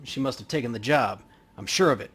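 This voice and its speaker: A man voicing a tense, impulsive young street gang member in a video game, talking gruffly and urgently. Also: mid-range voice, expressive, fast, fairly bright tone. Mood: determined.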